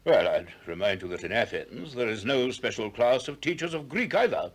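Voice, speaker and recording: Eloquent sophist teacher, husky, extremely animated intonation, expansive lecturing manner, 1960s radio drama. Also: male voice, medium pitch, moderate pace. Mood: assured.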